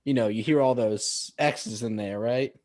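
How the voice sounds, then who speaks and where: A man's voice: medium pitch, measured pace, a little mellow. A young man co-hosting a podcast, chatting in an easygoing, self-deprecating way. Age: young adult. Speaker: podcast co-host. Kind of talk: casual chat.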